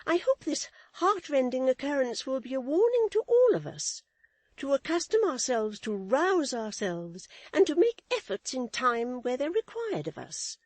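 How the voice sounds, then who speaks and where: A woman's voice: sing-song, normal speed, dry recording. A woman voicing a middle-aged wife who scolds her husband with withering, self-righteous indignation. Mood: moralizing. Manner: high-pitched, withering, sharply emphatic.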